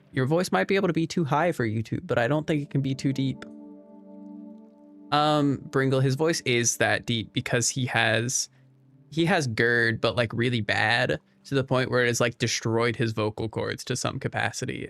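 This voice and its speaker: Casual man streamer with a creaky voice. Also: mid-range voice. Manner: rambling, conversational. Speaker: livestream host. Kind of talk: casual livestream chat, responding to viewers. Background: soft background music.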